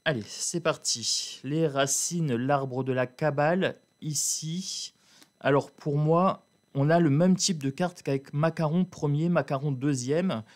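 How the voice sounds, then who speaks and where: A man talks his viewers through a card reading. His voice sits a little high, and he drawls and restarts, filling pauses with hesitations. He is blunt and outspoken in a casual, chatty way. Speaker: card reader. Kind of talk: casual tarot reading to camera.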